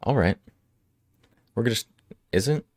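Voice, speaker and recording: A male livestream host chatting casually with viewers, slightly hoarse from a cold, relaxed and quick to laugh. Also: medium pitch, dry recording, studio quality. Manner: relaxed, laughs often, answers chat. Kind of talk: casual chat with live chat. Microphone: studio microphone.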